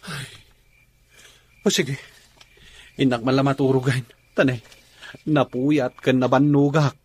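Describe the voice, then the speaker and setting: A man's voice: medium pitch, flat delivery, takes it slowly, darkish tone, breathy edge. A man playing a patient, doting rural husband in an Ilocano comedy radio drama, plain-spoken and coaxing, with an Ilocano accent. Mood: tired.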